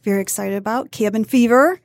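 A woman's voice: fairly high pitch, fairly quick, no room sound, studio quality. A middle-aged woman from Michigan, a grandmother, talking as a podcast guest in a chatty way that often breaks into laughs. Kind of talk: casual interview chat.

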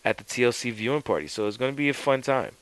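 A man with a smooth, resonant voice talks through wrestling plans in a relaxed, unhurried way. The sound is close and dry. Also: medium pitch, no room sound, rough recording. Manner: laid-back, laughs often. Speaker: podcast co-host. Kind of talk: casual wrestling chat.

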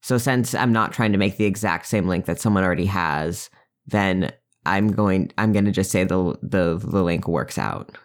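A player at a tabletop game, hesitant and filling pauses. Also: sits low. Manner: hesitant, laughing. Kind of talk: casual tabletop game chat.

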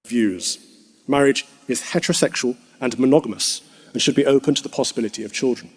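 A man, a clergyman, giving a formal, measured speech to an assembly. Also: mid-range voice. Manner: measured, firm oratory.

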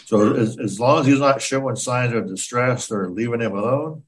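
A man co-hosting a podcast, telling odd news stories casually and playfully, often halting and repeating words. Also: mid-range voice, distant mic. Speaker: podcast co-host.

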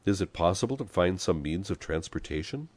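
A mild little middle-aged gentleman from a storybook, voiced by a male reader. He speaks quietly and courteously in a low register with little echo, measured and never flustered. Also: lively intonation. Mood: polite, earnest. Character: thin, middle-aged gentleman, polite, mild, unflappably calm.

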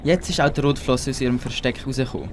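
A man's voice: mid-range voice, quick pace, slight background noise. A teenage boy with a Swiss German accent, narrating a scripted amateur children's radio play.

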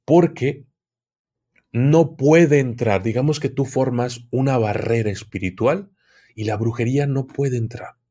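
A man's voice: medium pitch, measured pace, mellow. A man who is an occultism expert guest, explaining at length in an interview and often repeating words while he searches for them.

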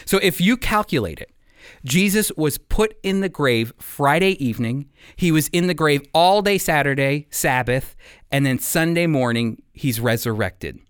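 A man with a fairly high, clean voice teaches scripture as a program host. He explains step by step and turns to the listener with rhetorical questions. The sound is dry, with little room around him. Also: expressive, normal speed, clear, resonant tone, no room sound. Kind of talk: expository Bible teaching.

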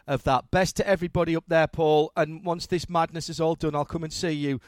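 High-pitched, upbeat male host. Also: fairly even intonation, brisk, no echo, clean studio sound. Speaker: radio show host. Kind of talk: hosting an interview.

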